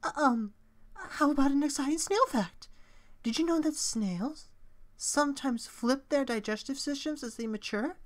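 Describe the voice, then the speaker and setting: A woman's voice: high-pitched, lively intonation, moderate pace. A woman voicing a motherly goat monster caretaker from a video game, formal, careful and maternal. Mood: eager, kindly. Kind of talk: video game character impression.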